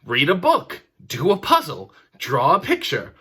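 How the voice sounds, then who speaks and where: A man plays a storybook mother, fretful and firm. The voice is bright and swings widely in pitch as she bosses and worries. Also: wildly swooping pitch, unhurried, breathy edge, exaggerated voice. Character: Charlie's mum, concerned, firm mother. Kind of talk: storybook reading.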